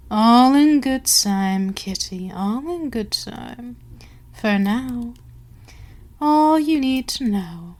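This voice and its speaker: A woman playing a commanding vampire queen in an ASMR audio roleplay, speaking in a measured, formal way with soft whispery lapses. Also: fairly high pitch. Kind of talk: slow, breathy ASMR roleplay monologue.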